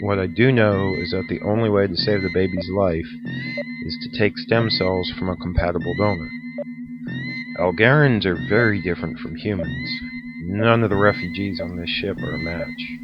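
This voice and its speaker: Casting: man as frank, caring space doctor for audio drama, fairly deep, slightly muffled recording. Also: wildly swooping pitch, normal speed, over loud music. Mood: grave. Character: candid, concerned male starship doctor.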